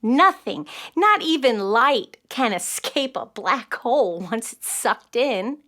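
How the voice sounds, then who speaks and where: A woman's voice: on the high side, slow, full character voice. A woman voicing an eager, brainy, curious nine-year-old girl in a children's audio play. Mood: curious, earnest. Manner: excitable, stammering when startled.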